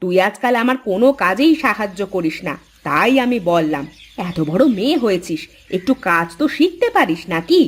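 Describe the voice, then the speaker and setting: A woman's voice: mid-range voice, very animated, brisk. A woman voicing a nagging, hardworking village mother in a moral-story cartoon, chiding and complaining as she talks.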